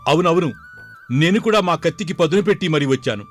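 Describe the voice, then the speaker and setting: A man's voice: fairly high pitch, expressive, fairly quick, faint music behind, pitched above the performer's own voice, lightly acted. A man voicing a brash, eager young warrior prince with a boastful, impatient manner.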